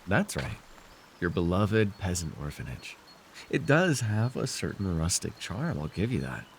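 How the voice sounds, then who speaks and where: Man acting a low, smug, seductive fantasy villain. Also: sing-song, measured pace, vocal fry, a little hiss or hum, heavily acted. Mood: smug, gloating. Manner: smug, teasing, theatrical, speaking intimately to one listener. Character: arrogant, seductive male fantasy villain warlord, dream-wielding conqueror. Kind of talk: immersive ASMR fantasy roleplay acting.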